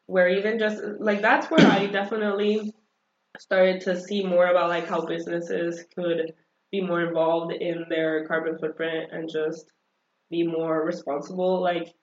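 A woman with a mid-range voice, chatting in an upbeat, animated way as a guest. She peppers her speech with fillers and laughs often. Her voice sounds roomy and dull, like a lo-fi recording. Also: lowish voice, a little slow. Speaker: interview guest. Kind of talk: casual conversational interview.

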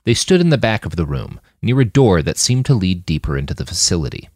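A man's voice: sits low, big pitch swings, a little fast, a little mellow, no room sound, studio quality. A man reading scripted fiction as an audiobook narrator.

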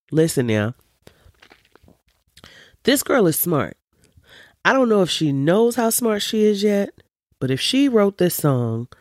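A woman in her forties with a deep voice, hosting a podcast in an emphatic, animated, opinionated monologue full of casual swearing. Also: dry recording, clean studio sound. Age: 40s. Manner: emphatic and animated, swears freely, preachy asides. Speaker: podcast host.

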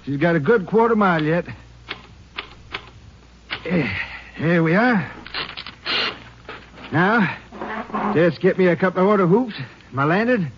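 An actor playing a chatty small-town railroad station master with a folksy, unhurried drawl, in 1950s radio drama style. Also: male voice, high-pitched, expressive, background noise. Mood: easygoing. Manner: rambling, drawn-out, unhurried. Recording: dull lo-fi old radio broadcast.

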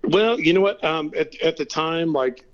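A man's voice: fairly high pitch, moderate pace, lo-fi sound. A middle-aged man from California, a guest telling stories in a laid-back, casual way and laughing between them. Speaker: interview guest. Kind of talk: casual storytelling.

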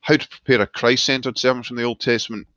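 A middle-aged man with a Glasgow Scottish accent, a seminary professor speaking as an interview guest in a measured, thoughtful way. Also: mid-range voice, level tone, fairly bright tone, no echo, dull audio. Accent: Glaswegian Scottish. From Scotland. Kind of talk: reflective interview talk. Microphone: remote call line.

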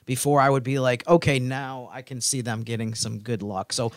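A man co-hosting a casual sports podcast, explaining his points in a measured way. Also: medium pitch, level tone, dry recording, clean studio sound. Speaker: team writer, podcast co-host.

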